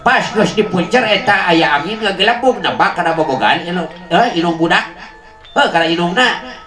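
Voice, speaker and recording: A man's voice: high voice, expressive, normal speed. Echoey, chuckling Sundanese comic storyteller man. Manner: playful, chuckles as they talk. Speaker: comic storyteller, show host. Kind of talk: comic folk storytelling with rhymed patter. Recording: echoey amplified sound with music behind. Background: music.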